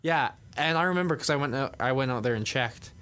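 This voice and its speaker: Fast-talking young man on a podcast. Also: mid-range voice, a bit bright, clear, resonant tone. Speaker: podcast co-host. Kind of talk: casual chat.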